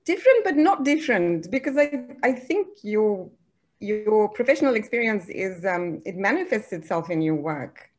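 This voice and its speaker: A woman's voice: medium pitch, big pitch swings, moderate pace, darkish tone. A woman in an audience asking casual questions over a video call, rephrasing as she goes.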